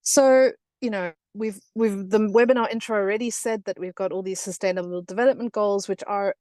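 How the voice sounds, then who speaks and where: A woman's voice: mid-range voice, fairly even intonation, brisk, darkish tone, no echo. A South African woman in her thirties presenting in a webinar, talking with many fillers and restarts. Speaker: webinar guest speaker.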